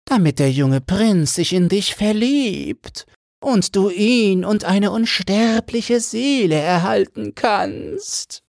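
A man voicing a scornful old female sea witch from a fairy tale, gloating and mocking in a theatrical, drawn-out manner. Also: high-pitched, lively intonation, vocal fry, a little mellow, dry recording, studio quality, pitched above the performer's own voice, full character voice.

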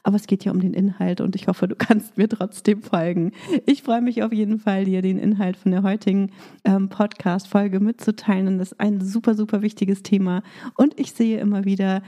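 A woman hosting her own business podcast, upbeat and coaching, still a little hoarse from a cold. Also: medium pitch, level tone, a little fast, warm tone. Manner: upbeat coaching tone, with fillers in free talk. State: recovering from a cold, voice slightly rough. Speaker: podcast host. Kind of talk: scripted intro then casual monologue.

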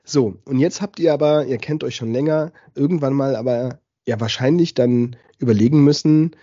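Ein Mann, der als Gastgeber eines Podcasts locker und plaudernd durch ein Gespräch führt. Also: male voice, mid-range voice, moderate pace, mellow, dry recording, muffled recording. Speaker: podcast host. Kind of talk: casual talk moderation.

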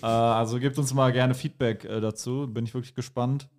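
A man hosting a podcast, chatting casually in informal, slangy language with a halting delivery. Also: mid-range voice, steady tone, darkish tone. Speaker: podcast host. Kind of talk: casual chat.

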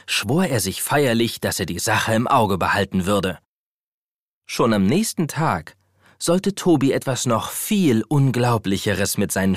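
Low-voiced male storyteller. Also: dry recording, studio quality. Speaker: narrator. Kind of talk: scripted storybook narration for children's audio drama.

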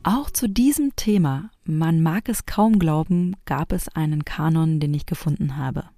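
A woman's voice: low voice, measured pace, mellow, faint music behind. A woman hosting a homemade audio piece, talking casually and reflectively.

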